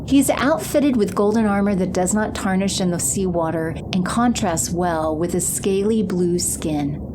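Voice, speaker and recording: A woman's voice: fairly low pitch, unhurried. A woman narrating a scripted audio drama, describing scenes in the present tense. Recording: clear ambient noise behind.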